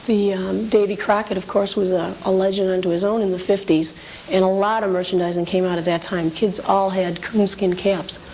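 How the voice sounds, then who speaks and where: A woman's voice: mid-range voice, level tone, a little fast, darkish tone. A woman collector being interviewed, explaining items in an informal, matter-of-fact show-and-tell way. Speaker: memorabilia collector, interview guest. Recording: hissy, noisy old TV recording.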